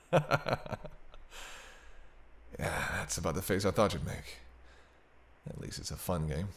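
A man's voice: lowish voice, creaky, heavily acted. A man playing a teasing, century-old vampire in an intimate roleplay audio drama, bantering wryly with hesitant asides. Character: teasing century-old male vampire, sardonic but soft-hearted.

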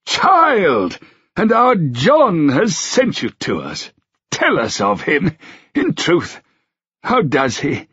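A man voicing a frail, sentimental elderly king, in a high, slow and trembling voice. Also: huge pitch swings, a bit bright, slightly rough, dry recording, exaggerated voice. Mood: fond. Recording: dull, dry, lo-fi sound.

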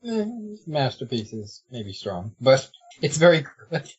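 Man, animated range, rough husky tone, stammering casual banter, lo-fi call audio. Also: medium pitch, a little slow.

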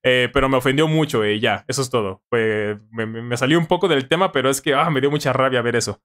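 A man's voice: medium pitch, quick pace, fairly bright tone. A man in his thirties with a Mexican accent hosts a casual live stream, informally answering viewers and repeating phrases for emphasis, close to a studio microphone. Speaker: live-stream host, language-learning tutor. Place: small home studio room, indoors. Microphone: studio microphone on boom arm, close.

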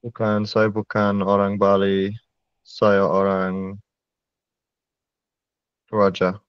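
A young man wearing a headset, a student slowly and carefully repeating phrases in an online language lesson. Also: lowish voice, completely flat delivery, clean tone, dry recording, lo-fi sound. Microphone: headset on video call.

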